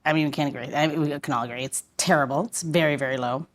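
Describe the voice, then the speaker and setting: A woman's voice: sits low, steady tone, fast talker. A woman hosting a podcast, introducing the topic and guest in a chatty, conversational style. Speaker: podcast host.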